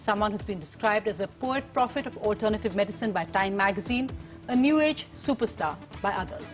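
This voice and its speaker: A woman hosting a TV interview show, giving crisp scripted introductions and questions. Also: medium pitch, expressive, a little fast, soft background music. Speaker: TV talk show host. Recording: dull lo-fi TV broadcast sound.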